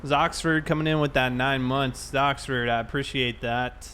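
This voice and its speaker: A man's voice: mid-range voice, steady tone, moderate pace, sharp tone. Casual male game streamer, faint vehicle noise. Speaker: livestreamer playing a police officer. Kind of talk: casual livestream chat over gameplay.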